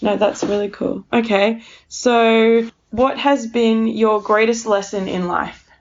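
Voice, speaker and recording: A woman's voice: on the high side, fairly even intonation, slow, a little hiss or hum. Casual woman interviewer, slightly muffled. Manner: agreeable, informal, uses frequent backchannels and "like". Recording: slightly muffled, dull sound.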